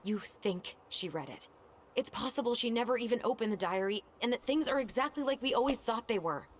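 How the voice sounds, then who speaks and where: A woman's voice: fairly high pitch, animated, fairly quick, a little hiss or hum, lightly acted. A woman playing a guarded, exasperated young adult sister in naturalistic video game dialogue. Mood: insistent, troubled.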